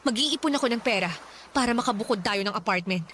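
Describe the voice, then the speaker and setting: An actress playing a fierce, protective older sister with a feisty, scolding way of talking in a radio drama. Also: female voice, fairly high pitch, animated, fast, a bit bright, slight background noise. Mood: resentful. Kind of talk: Filipino radio drama acting.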